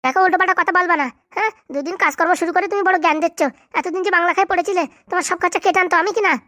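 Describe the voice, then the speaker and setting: A woman voicing a sharp-tongued grown daughter in a comedy cartoon, sarcastic and nagging. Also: extremely high pitch, very fast, dry recording, studio quality. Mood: exasperated.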